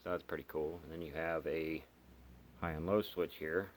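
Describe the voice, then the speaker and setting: Low-pitched man, casual hobbyist reviewer, unscripted demo, hesitant rambling delivery, noticeable background noise. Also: fairly even intonation, normal speed. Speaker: hobbyist video host reviewing radios. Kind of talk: casual unscripted product demonstration.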